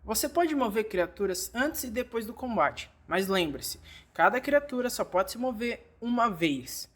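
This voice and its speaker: A Brazilian Portuguese narrator delivers a scripted game tutorial. The voice sits at a medium pitch and speaks straight to the learner in an instructive way, as in a fan dub. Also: lively intonation, unhurried. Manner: instructive, addressing the learner directly.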